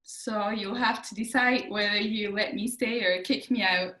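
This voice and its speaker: A woman's voice: mid-range voice, fairly even intonation, normal speed, pushed and bright. A woman talks casually and conversationally as a student panelist. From Austria. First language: German. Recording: echoey room on a video call.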